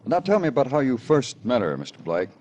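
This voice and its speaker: An actor playing a terse, insistent American police detective in an old-time radio crime drama. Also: male voice, medium pitch, wildly swooping pitch, fast, a little mellow. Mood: probing, cool. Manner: clipped, probing, insistent. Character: terse, hard-boiled male police detective, pressing and unsentimental. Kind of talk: 1940s-50s American radio drama acting. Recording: vintage radio recording.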